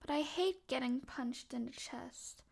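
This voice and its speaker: Woman playing a high-voiced, tough little vigilante girl. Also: measured pace, gravelly, heavy background noise. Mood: timid. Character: tough, precocious, foul-mouthed young vigilante girl. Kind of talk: comic book dub acting.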